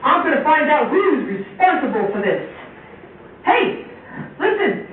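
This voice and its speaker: A man's voice: extremely high pitch, big pitch swings, measured pace, heavily acted. A man voicing a protective, scolding father bear in a children's puppet show, in an exaggerated manner. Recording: very echoey, tinny, distant, faint noise.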